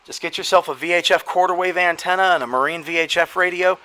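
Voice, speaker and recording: A man's voice: high voice, fairly even intonation, fast, pushed and bright. A man presenting radio gear in an informal show-and-tell, talking straight to viewers in an emphatic, explanatory way. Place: garage partly sunk into the ground.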